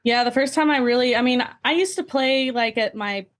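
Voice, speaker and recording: A woman's voice: a little high, fairly quick. A woman guesting on a podcast, answering questions in an informal, conversational way. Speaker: podcast guest, amateur athlete. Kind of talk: casual interview answers.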